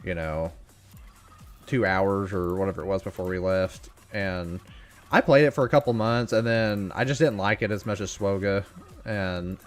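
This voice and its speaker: A relaxed young man in his twenties, talking loosely through a gaming livestream with plenty of jokes and laughter. His voice sits a little low, with faint game music running underneath. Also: normal speed. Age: 20s. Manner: laid-back, joking, laughs often. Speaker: streamer. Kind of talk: casual gaming livestream chat.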